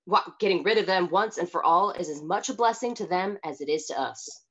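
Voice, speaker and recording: A woman playing a cold, haughty frontier townswoman in a radio western, pronouncing her views in a measured way. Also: lowish voice, a bit bright, breathy edge, highs cut off. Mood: cold, certain. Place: indoors at home, video call.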